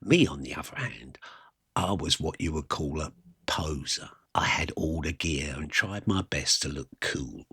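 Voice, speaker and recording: A man with a husky voice performing a wry, self-mocking rock and roll fan's conversational monologue about his youth. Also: a bass voice, expressive, unhurried, sharp tone, no room sound, studio quality, full character voice. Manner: colloquial, self-mocking, reminiscing. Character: Johnny, wry music-mad eighteen-year-old English rock and roll poser. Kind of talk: first-person dramatic monologue, conversational storytelling.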